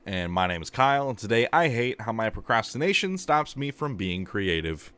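A man's voice: mid-range voice, sing-song, normal speed, fairly bright tone, smooth. A man co-hosting a podcast in casual chat, telling rambling everyday stories with lots of ums and likes, and he laughs at himself. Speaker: podcast co-host.

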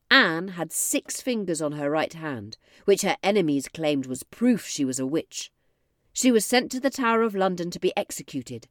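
A woman podcast host narrating scripted history for young listeners, with expressive storyteller phrasing. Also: lowish voice, dry recording, studio quality.

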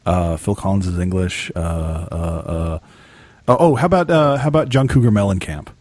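A man's voice: low voice, moderate pace, darkish tone, dry recording. A man hosting a casual chat, teasing his guest with wisecracks and hesitant fillers.

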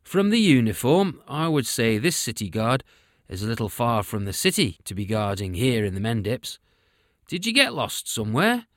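A man's voice: medium pitch, animated, unhurried, no echo, studio quality, close to an everyday voice. A man doing a sneering enemy captain. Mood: taunting. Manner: taunting, sneering. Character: mocking male enemy captain, arrogant soldier.

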